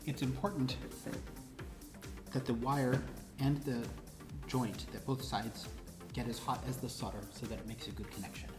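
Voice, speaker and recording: A woman's voice: very deep voice, fairly even intonation, moderate pace, pauses often. A woman instructor presenting a hands-on tutorial, explaining step by step and prompting with questions. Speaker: presenter and instructor of a DIY electronics show. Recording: roomy echo, music behind. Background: background music.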